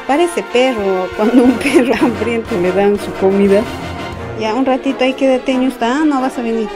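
Female home vlogger, Peruvian accent, thirties, fond and chatty, clear music bed. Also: a little high, normal speed. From Peru. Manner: affectionate, uses many diminutives. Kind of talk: casual family vlog chat. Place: indoors at home. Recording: music playing behind the voice.

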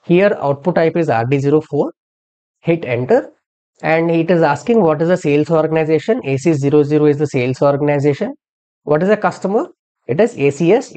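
A software instructor narrating a step-by-step tutorial in an explanatory way, posing questions and then answering them. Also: medium pitch. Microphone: screen-recording voice-over.

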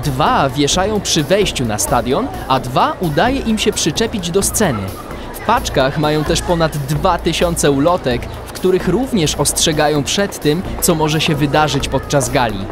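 A man's voice: fairly high pitch, lively intonation, a little slow, music in the background, a crowd in the background. A man narrating a children's adventure in a scripted audio drama. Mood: storytelling. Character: storyteller of a kids' adventure.

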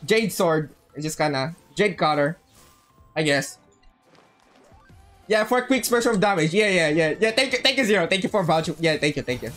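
A woman's voice: on the low side, lively intonation, moderate pace, fairly bright tone, faint music behind. A woman livestreaming a video game, chatting casually and bantering with viewers, often repeating her words and phrases. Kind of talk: casual gameplay chat with viewers.

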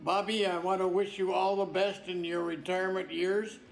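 A man's voice: high voice, level tone, normal speed, creaky, soft background music. An older retired man giving a congratulatory video message.

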